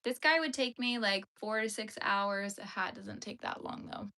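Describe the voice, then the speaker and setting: A woman's voice: mid-range voice, normal speed, pushed and bright. A young woman, chatting playfully with her livestream viewers while she crochets. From Canada. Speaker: livestream host.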